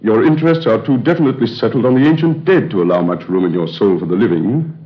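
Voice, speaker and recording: Man playing a brisk, low-voiced paternal doctor. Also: animated, close to an everyday voice. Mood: stern, advising. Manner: brisk, authoritative, paternal, dismissive. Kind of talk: old-time radio drama acting. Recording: dull, band-limited old radio recording.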